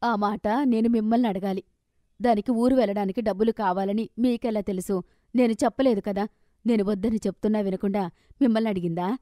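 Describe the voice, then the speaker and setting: A woman voicing a stern middle-aged mother and wife in an animated story, questioning and scolding. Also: medium pitch, fairly quick, no echo, studio quality, close to an everyday voice. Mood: suspicious.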